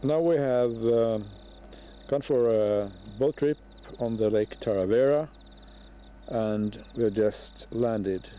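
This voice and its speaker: A man narrating a home travel video outdoors by a lake in a slow, halting way, recorded on a camcorder. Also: medium pitch, mellow. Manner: slow, halting commentary with pauses.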